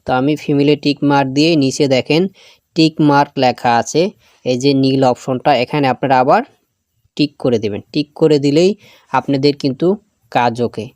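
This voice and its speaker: A man presents a step-by-step app tutorial in a medium-pitched voice. He speaks straight to his viewers and tends to repeat each instruction. The sound is dry, with little room echo. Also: moderate pace, a little mellow. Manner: addresses viewers directly, repeats phrases. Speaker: tech tutorial host.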